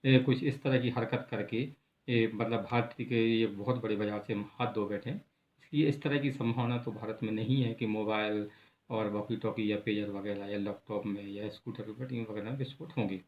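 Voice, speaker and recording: An Indian man presenting an unscripted news explainer in a halting, repetitive way, leaning on filler words. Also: lowish voice, fairly even intonation. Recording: echoey room, thin phone-like sound.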